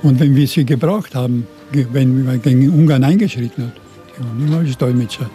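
An older man from Burgenland in Austria, with a faint Croatian-tinged Austrian German accent, answering interview questions in a halting way with many restarts. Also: medium pitch, dark tone, faint music behind. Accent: Burgenland Croatian-influenced Austrian German.

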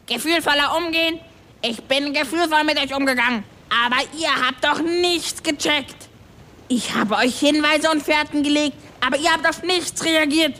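A grumpy little ship's goblin from a seafaring audio tale, played by a woman. The voice sits very high with a sharp, forceful edge. He scolds and explains with exasperated emphasis, like the only one who knows what is going on. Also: moderate pace. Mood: furious. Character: grumpy, furious little male ship's kobold, scolding know-it-all. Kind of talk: acted German audio story.

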